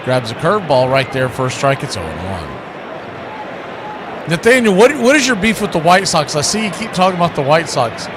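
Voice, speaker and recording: Male baseball announcer, high voice, folksy showman who chats with listeners between pitches, noticeable background noise. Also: sing-song, normal speed. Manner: folksy showman, stock catchphrases and jokes. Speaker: baseball play-by-play radio announcer and stream host. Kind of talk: baseball play-by-play commentary with chatty asides to viewers.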